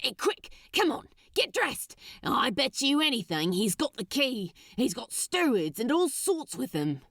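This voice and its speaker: Storybook demon, male, played by a woman, sly and exasperated, drawling then snapping, widely swinging pitch, dry close recording. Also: big pitch swings, a little slow, fairly bright tone, no room sound, heavily acted. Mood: urgent, exasperated.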